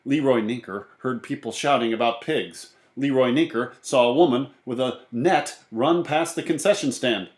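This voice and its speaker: A man narrating a children's book as an animated storybook reader. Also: mid-range voice, normal speed, hardly any pauses. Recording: roomy, echoey sound.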